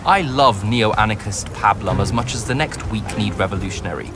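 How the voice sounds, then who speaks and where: A man's voice: fairly low pitch, normal speed, music in the background, natural voice. A man playing a pompous, verbose and sarcastic battle mage in a video game cutscene. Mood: mocking, sarcastic. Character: glib, pompous, witty male battle mage.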